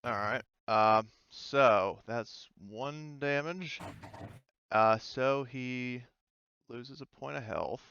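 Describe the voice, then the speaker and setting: A man's voice: mid-range voice, extremely slow, gravelly, fairly bright tone, clean tone, no echo, muffled recording. A man acting as game master of a tabletop roleplaying game, casually thinking aloud as he talks through rules and turns.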